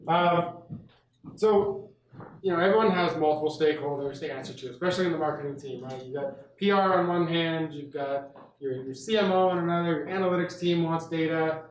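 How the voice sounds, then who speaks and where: A man moderating a conference panel, projecting loudly with many fillers and restarts. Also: fairly high pitch, brisk, a little hiss or hum. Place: large echoey event room, standing. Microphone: handheld mic. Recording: echoey room from far off.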